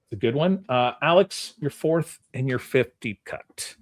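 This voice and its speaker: A man's voice: mid-range voice, animated, a little slow. A man hosting a game on a podcast in casual, conversational talk. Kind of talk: casual chat.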